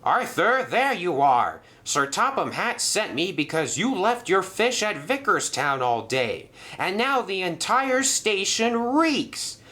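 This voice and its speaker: A man's voice: high-pitched, sing-song, takes it slowly, few pauses, sharp tone, voice pushed up, full character voice. A man voicing a stern, fussy male railway controller in a storybook reading, scolding and officious.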